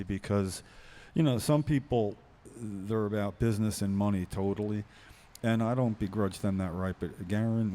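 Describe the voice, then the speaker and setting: A man's voice: fairly low pitch, dark tone, a little hiss or hum. A man co-hosting a podcast, talking casually in a hesitant, rambling way. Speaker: podcast co-host.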